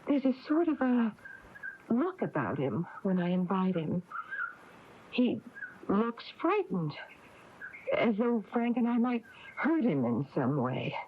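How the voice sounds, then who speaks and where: A woman's voice: medium pitch, big pitch swings, slow, long pauses. An actress playing an earnest, worried young married woman from a small town in a 1980s radio drama. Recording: muffled noisy radio broadcast tape.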